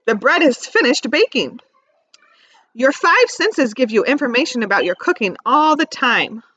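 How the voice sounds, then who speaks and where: A woman teacher reading aloud to young children, expressively stressing key words and pausing to ask simple questions. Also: sits high, very animated, pushed and bright, dry recording, lo-fi sound. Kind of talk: read-aloud lesson with questions to young listeners.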